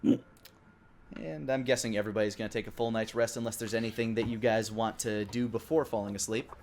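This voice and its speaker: A man improvising descriptive narration as the game master of a tabletop game, in a halting way. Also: fairly low pitch, steady tone, a little mellow, slight background noise.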